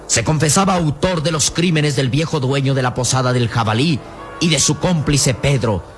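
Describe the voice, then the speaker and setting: A man narrating an adventure radio serial from a script, grave, emphatic and theatrical. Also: medium pitch. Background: dramatic music.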